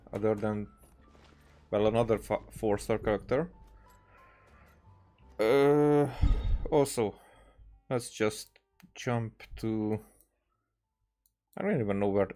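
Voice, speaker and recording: A man with a mid-pitched voice streams a game and rambles casually. He speaks haltingly, repeats words and trails off, with faint game music behind him. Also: darkish tone. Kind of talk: casual rambling gameplay commentary.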